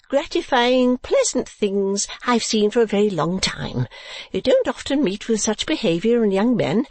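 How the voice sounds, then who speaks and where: A fussy middle-aged mother as a woman narrator acts her out, high and swooping in pitch. She gushes and exclaims in a storybook reading, scatterbrained and quick to take offence. The sound is dry and close. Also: dry recording. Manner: gushing, emphatic, exclamatory. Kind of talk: dramatised classic novel reading.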